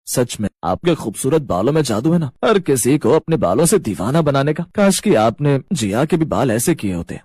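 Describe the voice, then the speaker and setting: A man plays a smitten young husband in a cartoon family drama. He is gushing and amorous, with a husky voice in a very dry recording. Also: medium pitch, sing-song, normal speed, few pauses, darkish tone, no echo. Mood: gushing admiration.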